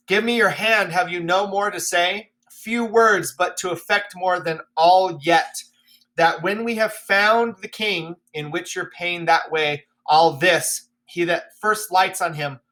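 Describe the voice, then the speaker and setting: A man's voice: sits high, slow pace, sharp tone, heavily acted, poor audio. A man voicing a loyal nobleman in disguise who gives earnest, urgent instructions.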